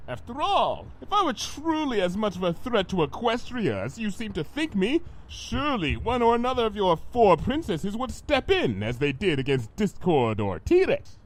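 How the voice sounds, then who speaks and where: A man's voice: high-pitched, huge pitch swings, normal speed, low-quality recording. A man playing a vengeful monster villain in an audio story, grandiose and menacing, with pompous, florid melodrama. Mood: smug, indignant. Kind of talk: dramatized fan fiction audio reading.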